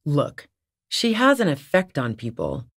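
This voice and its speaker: A woman's voice: sits low, huge pitch swings, unhurried, creaky, breathy edge, no room sound, heavily acted. A woman playing a tough, sardonic female lorry-driving smuggler in a video game, with a dry, sarcastic and casually profane way of talking. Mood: defensive, cold. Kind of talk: video game character dialogue.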